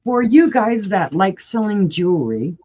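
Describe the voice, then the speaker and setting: A chatty woman hosts a live auction sale, full of enthusiastic asides. Her voice is going hoarse. It sits in an ordinary middle pitch. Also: very animated, measured pace, dark tone. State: losing her voice, clearing throat.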